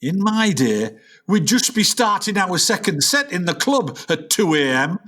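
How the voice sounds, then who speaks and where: A man's voice: high voice, very animated, unhurried, a bit bright, heavily acted. A man playing an aging, grumpy, melodramatic jazz musician with a North of England accent in a comic radio play. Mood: grumbling. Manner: griping, melodramatic, indignant.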